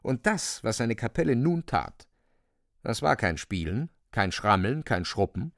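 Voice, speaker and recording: A man's voice: mid-range voice, sing-song, measured pace, vocal fry, dry recording, studio quality. A man narrating a radio drama in a dramatic, wry style, relishing emphatic wording.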